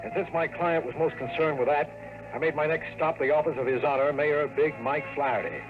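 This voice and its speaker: A man's voice: fairly high pitch, fairly quick, close to an everyday voice, poor audio. A man acting a cocky, sardonic American investigator in an old radio drama, pushy and wisecracking, with clipped slangy talk. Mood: wry narration. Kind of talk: 1950s radio drama acting with first-person narration. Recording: vintage radio recording with faint music.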